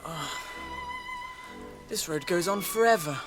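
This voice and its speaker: An actor with an English accent playing a cheeky, lazy young gentleman hobbit in a radio drama, breezy and languid. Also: high-pitched, wildly swooping pitch, slow pace, over music, exaggerated voice. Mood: casual.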